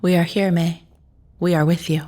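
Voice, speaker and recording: An actress playing a grave, loyal friend in an audio drama, a woman with a low, gravelly voice and formal, firm phrasing. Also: level tone, moderate pace, dark tone, natural voice. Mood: gentle, solemn. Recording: echoey, roomy sound.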